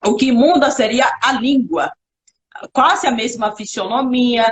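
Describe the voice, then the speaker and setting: Kalapalo-speaking woman on a video call. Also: on the high side. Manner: thoughtful, halting Portuguese with restarts. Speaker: guest. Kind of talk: casual live interview.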